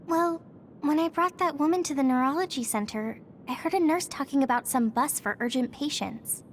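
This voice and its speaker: High-voiced earnest young female soldier played by an actress. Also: normal speed, a little hiss or hum, lightly acted. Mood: hesitant, puzzled. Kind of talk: video game cutscene voice acting.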